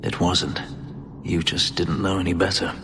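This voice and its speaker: A man's voice: sits low, steady tone, normal speed, noisy background. An actor playing a bitter, brooding male elf who escaped slavery, speaking grimly and formally in a video game. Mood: stern. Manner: grim, terse, formal phrasing.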